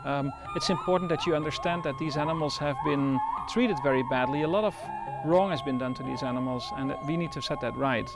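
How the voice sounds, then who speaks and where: Male center director, gravelly tone, measured and hesitant delivery, music bed underneath. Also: fairly high pitch. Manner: thoughtful, frequent pauses and restarts. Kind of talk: interview.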